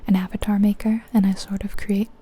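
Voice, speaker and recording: A woman whispering softly and breathily in a casual, chatty ASMR style as she comments on a game, gentle and musing. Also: mid-range voice, level tone, a little fast, breathy edge. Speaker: ASMR channel host.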